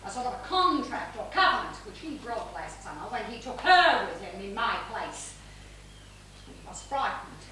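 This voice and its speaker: A woman's voice: mid-range voice, lightly acted. A woman playing a possessive, imperious older mother in an amateur stage play, insistent and declamatory. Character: possessive, imperious older mother, bitterly devoted to her son. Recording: echoey theatre stage, distant mic, noise.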